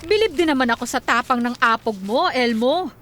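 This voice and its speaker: A woman's voice: high-pitched, lively intonation, fairly quick, soft background music. A woman with a Filipino accent playing a principled, indignant woman who speaks reproachfully in a radio drama. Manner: sharp, reproachful.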